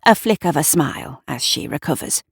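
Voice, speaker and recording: A woman narrating a scripted audio drama in a measured, deliberate, atmospheric storytelling manner. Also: low-pitched, expressive, fairly bright tone, husky, dry recording, studio quality. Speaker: writer and narrator.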